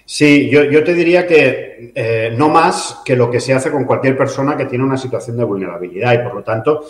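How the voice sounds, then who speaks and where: Catalan man answering in an echoey room. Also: mid-range voice. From Catalonia, Spain. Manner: measured, thoughtful, many filler "eh" and tag "¿no?". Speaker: mayor. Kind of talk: interview answers.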